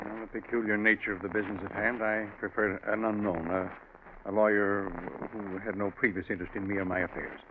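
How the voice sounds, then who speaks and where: An actor playing a grave, secretive, middle-aged bank president, formal and deliberate with some hesitation, in 1940s radio drama style. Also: male voice, fairly low pitch, level tone, close to an everyday voice. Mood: guarded. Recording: noisy, narrow-band 1940s radio recording.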